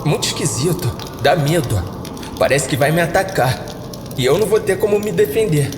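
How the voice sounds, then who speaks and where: A man's voice: fairly high pitch, animated, normal speed, echoey room, big-room sound, background noise, pitched above the performer's own voice, natural voice. A man voicing a worried, exasperated brother of a sleepwalker in a horror story, with a Brazilian accent. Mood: uneasy.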